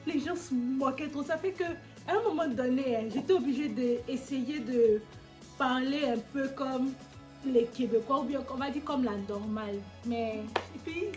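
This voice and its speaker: A young woman from Côte d'Ivoire with an Ivorian accent, chatting casually on her video channel and answering her sister's questions with lively, teasing energy. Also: high voice, music in the background. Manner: lively, animated, quick to tease. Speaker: vlogger.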